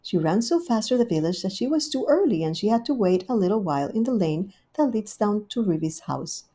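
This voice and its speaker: A woman reading a classic children's story aloud in a gentle, clear storytelling manner. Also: medium pitch, very animated, brisk. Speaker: storybook reader.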